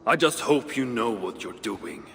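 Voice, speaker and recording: A man's voice: medium pitch, expressive, measured pace, a bit bright, soft background music. An actor playing a cocky, needling dwarf craftsman in a fantasy video game, with a dry, teasing delivery. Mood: doubtful. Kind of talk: video game cutscene acting. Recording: long reverb, some background noise.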